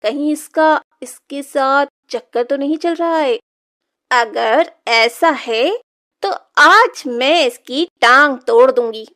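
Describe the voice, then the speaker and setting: Woman acting a cruel high-voiced cartoon stepmother. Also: slow, pushed and bright, exaggerated voice. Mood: threatening. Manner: harsh, taunting, threatening. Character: cruel, scolding middle-aged village stepmother. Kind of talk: Hindi moral-story cartoon dubbing.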